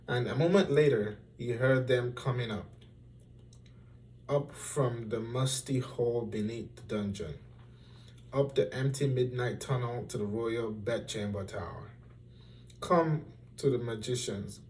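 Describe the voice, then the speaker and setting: A man reading a children's story aloud in an expressive, deliberate, theatrical style. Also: medium pitch, recorded from a distance. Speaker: storybook reader.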